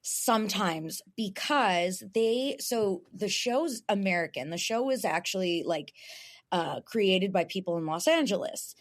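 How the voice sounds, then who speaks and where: Young woman, raspy voice, talkative podcast guest, frequent laughs. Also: mid-range voice, lively intonation, unhurried. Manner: chatty, laughs often, restarts. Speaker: guest voice actor. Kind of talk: casual podcast chat.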